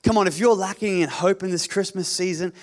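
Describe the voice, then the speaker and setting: Male pastor, sermon, fairly high voice, repeats phrases, calls for amen, jokey asides. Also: fairly quick. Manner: repetitive, rhetorical, calls for amen.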